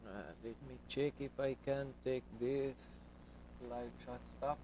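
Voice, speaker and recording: Slow, hesitant man streaming trades. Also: medium pitch, little pitch movement, noisy background. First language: not English. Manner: slow, hesitant, many fillers. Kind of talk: live-stream commentary while trading.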